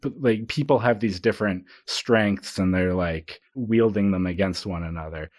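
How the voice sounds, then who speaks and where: A man with a low voice talks in an informal, conversational way as a podcast co-host. He thinks aloud and hedges as he goes, and the sound is dry and close. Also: moderate pace, no room sound. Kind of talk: casual conversational discussion.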